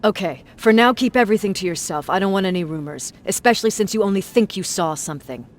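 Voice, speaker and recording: Animated, commanding female officer played by an actress. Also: mid-range voice, quick pace, slight background noise, natural voice. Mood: stern questioning. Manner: authoritative, terse. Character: brisk, commanding female resistance military commander. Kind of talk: video game voice acting.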